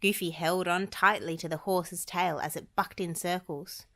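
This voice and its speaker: A woman reading a children's storybook aloud as an expressive narrator. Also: on the low side, moderate pace. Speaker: storybook reader.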